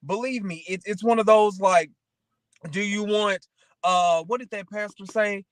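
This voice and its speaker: A man with a notably high-pitched voice talks in a casual, motivational way. He rambles through anecdotes and keeps checking that the listener follows. The sound is dry and close, with very little room. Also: steady tone, unhurried, no room sound. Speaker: talk show co-host.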